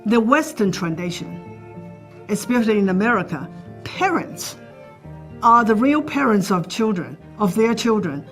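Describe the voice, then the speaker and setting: A woman from China with a Chinese accent, speaking deliberately in an interview. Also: mid-range voice, very animated, soft background music. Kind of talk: documentary interview.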